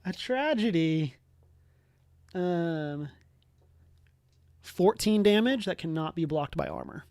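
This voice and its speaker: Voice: mid-range voice, slow, darkish tone, dry recording. A game master running a casual tabletop game in a playful, wry way.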